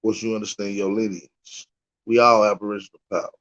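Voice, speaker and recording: A man's voice: fairly low pitch, flat delivery, fairly quick, a bit bright. Crude mocking man on a lo-fi stream. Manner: crude, mocking trash talk. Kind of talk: casual streaming banter.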